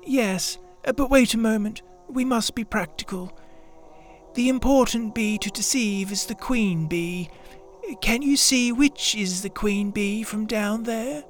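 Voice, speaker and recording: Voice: medium pitch, soft background music, close to an everyday voice. A performer as an earnest, solemn little storybook bear. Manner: deliberate, solemn, gently anxious. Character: earnest, slow-witted, self-important little toy bear. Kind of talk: children's storybook readers theatre.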